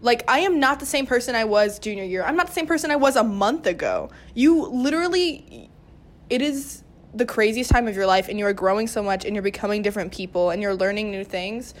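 A woman's voice: medium pitch, animated, fairly quick. A woman in her late teens speaking casually and earnestly as a student guest, with an emphatic way of making points. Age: teens, about 18. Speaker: podcast guest, student cast member. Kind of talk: casual group discussion.

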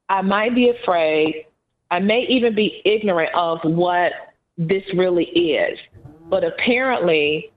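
A woman with a Southern US accent, co-hosting a podcast in an earnest, encouraging, unhurried manner. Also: lowish voice. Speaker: podcast co-host. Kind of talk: casual podcast chat. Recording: phone-like narrow band.

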